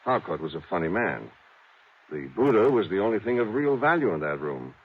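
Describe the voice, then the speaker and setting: A fretful American insurance executive in a 1950s radio drama. His lowish voice jumps around with blunt, grumbling exasperation. The recording is thin and narrow. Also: big pitch swings, a little fast, slightly rough, exaggerated voice. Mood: explanatory. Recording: narrow old radio, faint noise.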